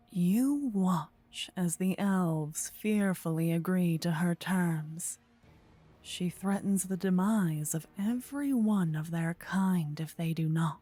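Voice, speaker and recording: A woman narrating a scripted fantasy ASMR story in a soft, breathy, partly whispered voice. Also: lowish voice.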